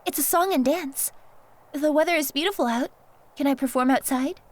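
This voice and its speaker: Woman acting a coy, high-voiced seductress. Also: moderate pace, lightly acted. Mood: coy, polite. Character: poised, secretly scheming seductress on a date. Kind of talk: audio drama acting.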